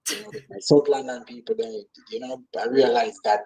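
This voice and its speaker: A man's voice: medium pitch, sing-song, a little fast, gravelly, dark tone, low-quality recording. A man from Jamaica with a Jamaican accent, chatting casually as an interview guest and repeating phrases for emphasis. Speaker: guest, actor and comedian.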